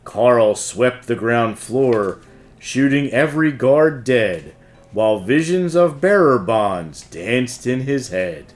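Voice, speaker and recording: A man narrates a rhyming storybook aloud at an unhurried pace. His mid-range voice swings expressively from line to line, with faint guitar music in the background. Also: medium pitch, a little mellow. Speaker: host and storybook reader.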